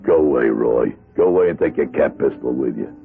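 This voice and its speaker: An actor playing a weary, guarded middle-aged ex-convict in a radio drama, with an American accent and a gruff, terse, sardonic delivery. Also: male voice, very deep voice, a little fast, phone-quality sound, full character voice. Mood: grudging, gruff. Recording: band-limited radio drama recording with music.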